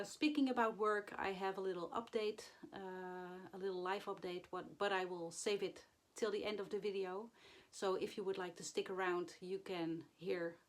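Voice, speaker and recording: A hoarse woman talks slowly to her camera and halts often with fillers. Her voice is still rough from the flu. Her pitch sits at an ordinary middle height. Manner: halting, many fillers and pauses. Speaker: flosstube vlogger. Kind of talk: casual chat to camera.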